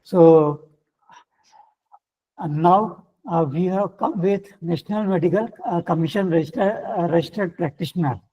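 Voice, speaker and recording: A man with an Indian English accent giving a workshop lecture, halting with many fillers and restarts, his voice getting hoarse. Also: sits high, lo-fi sound. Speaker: guest lecturer. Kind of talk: online faculty workshop lecture.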